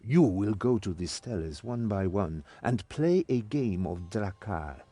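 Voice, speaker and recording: An actor playing a stern, commanding old mentor in a game cutscene, with theatrical delivery. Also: male voice, on the low side, lively intonation, measured pace, mellow, husky, dry recording, soft background music. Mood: testing, instructive. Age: older. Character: stern old male mentor, servant of a master. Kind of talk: scripted game cutscene acting.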